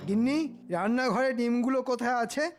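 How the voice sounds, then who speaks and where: A man's voice: very high-pitched, steady tone, fairly quick, music in the background. A man voicing a scheming, self-important cartoon royal minister, bossy, pompous and impatient.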